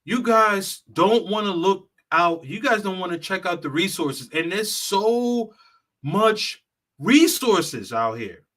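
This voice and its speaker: A man's voice: sits high, lively intonation, slow pace, a bit bright. A man who works as a travel consultant, giving a blunt, profane, lecturing monologue straight to the viewer. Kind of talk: heated straight-talk monologue.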